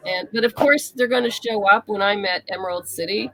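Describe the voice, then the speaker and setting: A woman talks as an interview guest in a casual, conversational way. Her mid-pitched voice has a noticeable creak, and she explains things candidly. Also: brisk, fairly bright tone, no room sound, rough recording. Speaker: interview guest, comic writer and novelist.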